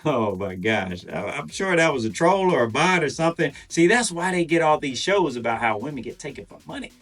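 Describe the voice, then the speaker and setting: A man's voice: a little high, very animated, brisk, a bit bright. A man hosting a reaction video, giving casual joking commentary over clips. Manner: joking, chuckling asides. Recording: distant mic with music under.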